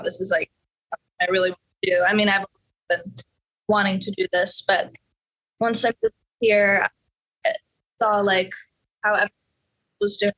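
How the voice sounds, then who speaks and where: A woman's voice: medium pitch, pushed and bright, rough recording. Hesitant teenage girl from Norway. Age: teens. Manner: hesitant, many fillers and restarts. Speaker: interview guest, young musician. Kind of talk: casual interview answers.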